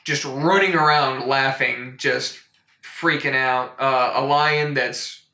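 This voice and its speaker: A man hosting a comic storytime in a mock-serious, deadpan way, rambling into incredulous asides. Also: mid-range voice, takes it slowly, roomy sound. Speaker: host of a comic storytime show. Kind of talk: deadpan comedic picture book review.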